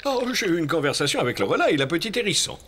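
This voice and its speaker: A man's voice: on the high side, very animated, fast, fairly bright tone. A man voicing a kind, awkward dog doctor in a children's cartoon, speaking in an earnest, hesitant way. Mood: cheerful. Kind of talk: French-dubbed children's cartoon acting. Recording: music behind the voice.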